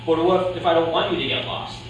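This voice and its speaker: A man's voice: a little high, flat delivery, a little fast, close to an everyday voice. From a distant mic in a heavily echoing, noisy room comes a cocky, naive prizefighter acted by a man in a staged reading. He sounds defensive and questioning. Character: cocky, defensive, naive male middleweight boxer. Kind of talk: staged reading of a boxing drama. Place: live staged reading in a roomy indoor space. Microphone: distant video camera. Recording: echoey, noisy room, distant camera microphone.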